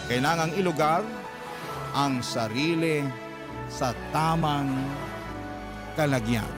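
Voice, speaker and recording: A male radio drama narrator reads a love letter as a personal confession. His fairly high voice is anguished and sentimental, lingering on pauses. Music is loud throughout behind him. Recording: prominent music bed.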